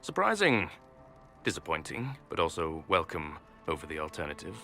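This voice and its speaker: An actor playing a smug, scheming nobleman in a video game, coolly polite, condescending and measured. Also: low voice, wildly swooping pitch, vocal fry, bright, forceful tone, faint music behind, heavily acted. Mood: coolly persuasive. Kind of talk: video game cutscene acting.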